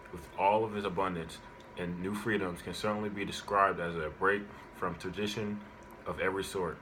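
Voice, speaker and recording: A man reads out a prepared informative speech as a student speaker, in halting, broken phrases. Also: sits low, pushed and bright, slight background noise, poor audio.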